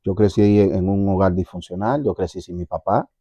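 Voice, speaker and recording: A man with a Dominican accent, a pastor sharing his personal testimony in an earnest, reflective way, often pausing and repeating words. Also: low voice, dark tone, no room sound, dull audio. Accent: Dominican Spanish. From Dominican Republic.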